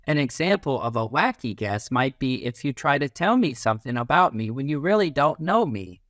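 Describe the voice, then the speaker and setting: A man reading a children's picture book aloud as a teacher, in an expressive, instructive manner. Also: medium pitch, normal speed, no echo, studio quality. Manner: animated, instructive, acts out little sounds and quotes.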